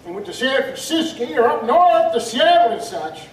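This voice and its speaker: An actor playing a gruff, evasive hall master in an old seaport, grumbling in rustic old-time dialect. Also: mid-range voice, sing-song, normal speed, far from the mic, close to an everyday voice. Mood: gruff, defensive. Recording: echoey, off-mic, ambient noise behind.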